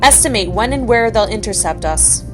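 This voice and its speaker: An actress playing a sardonic, authoritative space-fleet admiral in a sci-fi audio drama, dry and brisk. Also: female voice, medium pitch, animated, music in the background, lightly acted. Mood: calm, commanding.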